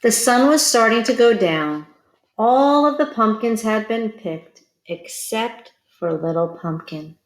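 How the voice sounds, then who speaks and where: A woman reading a picture book aloud to children like a teacher, expressive and pausing to put questions to young listeners. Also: mid-range voice, echoey room. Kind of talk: children's read-aloud storytelling.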